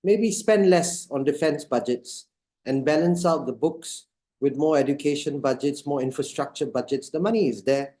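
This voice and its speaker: A man from Singapore leads an online discussion over a video call. His voice sits a little high and has a dark, mellow tone. He talks in a halting way, full of fillers and restarts. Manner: hesitant, many fillers and restarts. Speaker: moderator of online summit. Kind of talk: casual panel discussion.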